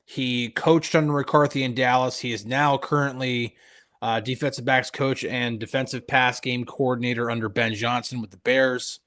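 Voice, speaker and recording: A man's voice: medium pitch, steady tone, moderate pace, fairly bright tone. A man co-hosting a live sports podcast, agreeing easily and breaking down coaching options. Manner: agreeable, easygoing, analytical. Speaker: podcast co-host. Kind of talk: live podcast chat and football analysis.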